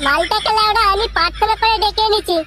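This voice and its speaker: A performer voicing a possessive, foul-mouthed village lad in a comic cartoon, bickering in a pitched-up voice. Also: female voice, fast, heavy background noise. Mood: indignant. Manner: bickering, crude. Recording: music behind the voice.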